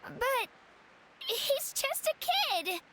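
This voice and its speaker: A woman's voice: very high voice, very animated, drawn-out words, a bit bright, slight background noise, full character voice. A woman playing a puzzled, childlike young villager in a video game, in a wondering manner.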